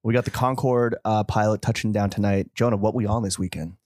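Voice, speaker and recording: A man's voice: on the low side, fairly even intonation, brisk, darkish tone, dry recording, clean studio sound. A man hosting a podcast in casual, slangy chat with a joking manner. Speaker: podcast host.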